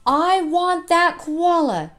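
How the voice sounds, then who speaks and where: A woman's voice: very high-pitched, animated, slow, soft background music, voice pushed up, heavily acted. Woman voicing an angry, demanding little boy. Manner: whiny, stomping, shouting insistence. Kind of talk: children's storybook read-aloud.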